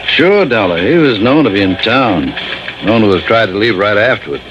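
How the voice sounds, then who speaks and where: An actor playing a gruff, frustrated American police sergeant in an old radio drama, blunt and colloquial. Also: male voice, on the low side, lively intonation, normal speed, close to an everyday voice. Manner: blunt, colloquial, punchy fragments. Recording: muffled, noisy old radio recording.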